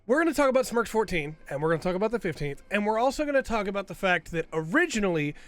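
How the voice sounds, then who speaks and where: A man with a cold talks through a casual commentary video. His voice sits a little higher than most men's, and he rambles on, tagging his points with "right?" as he goes. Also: big pitch swings, very fast. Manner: rambling, tags lines with "right?". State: has a cold. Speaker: video host.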